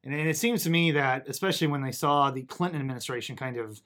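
A man's voice: mid-range voice, fast, fairly bright tone. A man hosting a podcast interview, conversational and chatty, laughing easily. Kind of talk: casual interview chat.

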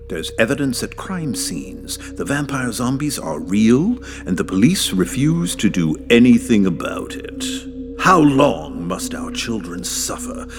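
A man's voice: low voice, full character voice. A man acting a grave, alarmist doomsday church leader in a parody political ad, solemn and dramatic. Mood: ominous, urgent. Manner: solemn, dramatic, deliberate preaching. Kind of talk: parody paid political ad narration. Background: music.

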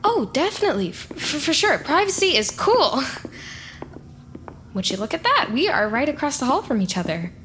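A woman's voice: sits high, very animated, normal speed, fairly bright tone, slight background noise. An actress playing an awkward, eager, lonely young woman who stammers and rambles nervously. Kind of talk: naturalistic audio drama acting.

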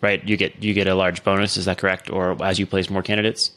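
Finance host, male, lowish pitch, dry close mic, probing and restarting sentences. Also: level tone, fast talker, fairly bright tone, no room sound. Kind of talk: interview questions and analysis.

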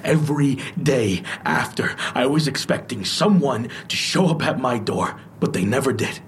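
An actor playing a guilt-ridden, bitter, grieving man in an audio drama, confessing in a heavy, halting way. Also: medium pitch, lively intonation, a little hiss or hum, close to an everyday voice. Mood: numb, confessional. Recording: music playing under the voice.

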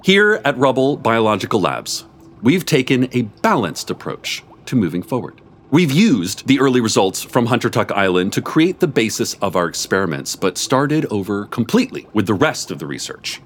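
Expressive man reading a story aloud. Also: mid-range voice, moderate pace. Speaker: story reader. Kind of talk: live comic story reading. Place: live performance before an audience.

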